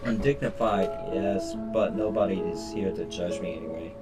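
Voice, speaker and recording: Low-voiced man streaming, game music behind. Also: normal speed, distant mic. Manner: sweary, stumbles while reading. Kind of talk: live playthrough, reading story text aloud with crude commentary.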